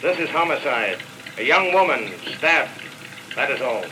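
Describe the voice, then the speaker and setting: An actor playing an official police dispatcher calling patrol cars in a 1940s radio drama. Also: male voice, mid-range voice, measured pace, lightly acted. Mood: official, urgent. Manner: flat official announcing. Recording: noisy crackling old radio transmission.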